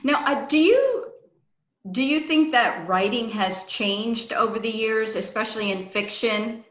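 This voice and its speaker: A woman's voice: a little high, lively intonation, measured pace, a bit bright. Lady interviewer, middle aged, chatting on a video call, roomy muffled sound. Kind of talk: casual interview chat. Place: small room, indoors. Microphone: video call, computer microphone. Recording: dull, echoey video call.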